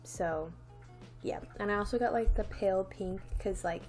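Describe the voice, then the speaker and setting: A woman's voice: mid-range voice, moderate pace, darkish tone, faint music behind. A young woman vlogger chatting casually to camera with a slight lisp from a new retainer, rambling with lots of "like" and restarts. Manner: rambling, many "like"s and restarts, light laughs.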